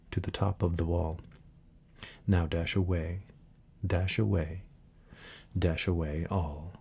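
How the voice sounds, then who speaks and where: Male ASMR reader, dark breathy tone, soft and gentle, very even delivery. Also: low voice. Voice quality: soft, breathy, husky, sometimes near a whisper. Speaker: ASMR video creator and reader. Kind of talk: soft-spoken ASMR talk and poem reading.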